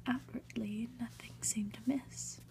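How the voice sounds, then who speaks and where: A woman reads a story in a soft ASMR whisper, taking her time over each phrase. Her delivery is hushed, breathy and deliberate, with a crisp, bright edge to the sound. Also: on the high side, steady tone. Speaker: ASMR reader.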